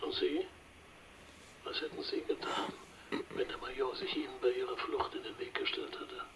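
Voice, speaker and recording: Very husky journalist narrator. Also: male voice, medium pitch, monotone, a bit bright, rough recording. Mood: probing. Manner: measured, wordy, precise. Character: male investigative journalist recounting his interviews, formal and observant. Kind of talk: radio play narration and interview.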